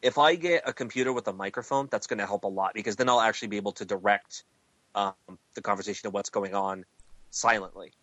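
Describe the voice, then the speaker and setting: Bright-voiced male podcast host. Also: on the low side, fast, no room sound, muffled recording. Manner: loose, rambling, many fillers. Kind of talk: casual podcast chat.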